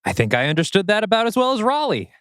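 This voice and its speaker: A man's voice: high voice, huge pitch swings, quick pace, a bit bright, smooth, dry recording, studio quality, heavily acted. A man playing a mild, bemused and slightly unsettled young father in a script read-through. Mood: wry. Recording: music playing behind.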